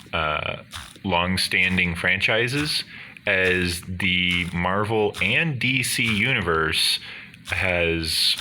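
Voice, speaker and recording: A man with a deep, booming bass voice, rambling casually in wordy, formal phrasing as he hosts a gaming video. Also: pushed and bright, slight background noise. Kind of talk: casual rambling commentary over gameplay.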